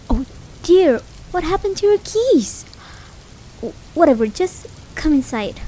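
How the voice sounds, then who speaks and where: A woman's voice: sits high, mellow. A woman acting a caring, worried, hesitant partner in a realistic slice-of-life ASMR roleplay. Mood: worried, concerned. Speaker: amateur voice actor. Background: rain sound effects.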